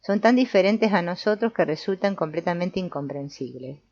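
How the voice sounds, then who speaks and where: A woman reads a book aloud as scripted narration, in a measured, didactic way. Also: lowish voice, animated, a little mellow, lo-fi sound. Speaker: audiobook narrator.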